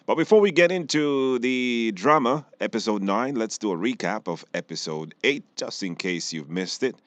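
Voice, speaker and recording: A man's voice: medium pitch, animated, moderate pace, no room sound. A man presenting on radio, narrating a recap of a serial episode.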